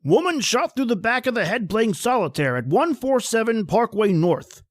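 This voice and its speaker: An actor playing a brusque newspaper editor barking out assignments in an old-time radio drama. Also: male voice, sits high, sing-song, moderate pace, dry recording. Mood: urgent.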